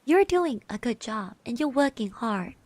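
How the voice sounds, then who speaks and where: A woman plays a kind, bashful girl of eighteen in an ASMR classmate roleplay. Her voice is soft and fairly high, and she speaks very slowly with hesitant, reassuring stammers. The sound is close and dry. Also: very animated, no echo. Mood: gently encouraging. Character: shy, kind eighteen-year-old girl classmate giving encouragement. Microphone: close microphone.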